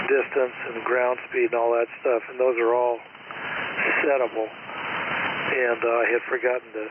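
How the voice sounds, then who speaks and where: A man chatting casually as an amateur radio operator, talking in an unhurried, halting, folksy way over a two-way radio. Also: mid-range voice. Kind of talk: casual ham radio chat. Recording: narrow, very noisy two-way radio transmission.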